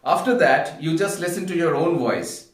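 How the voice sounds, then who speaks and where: A man with an Indian accent giving an instructional talk as a public speaking coach, earnest and deliberate, repeating phrases for emphasis. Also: on the high side, animated. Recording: echoey room, distant microphone.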